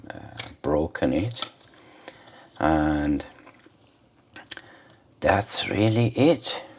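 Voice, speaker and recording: A man giving an informal hands-on commentary for a repair video, speaking haltingly in short phrases with pauses. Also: a bass voice, a little mellow. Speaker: host of an electronics repair video.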